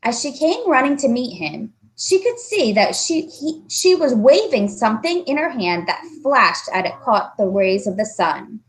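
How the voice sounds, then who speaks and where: A woman reading a children's story aloud as an expressive narrator. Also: fairly high pitch, unhurried, hardly any pauses, poor audio. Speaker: storybook reader.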